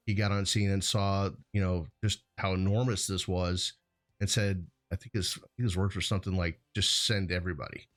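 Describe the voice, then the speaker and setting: An American man hosting a show, talking in a casual, rambling, conversational way peppered with "you know". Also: lowish voice. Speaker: host of a hazmat show.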